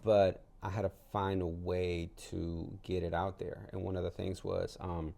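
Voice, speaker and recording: A man from El Salvador speaks as a guest in a casual interview. His voice is very low and mellow, with a lot of gravelly fry. He explains things informally, in anecdotes. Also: level tone, unhurried. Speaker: interview guest, artist and gallery owner.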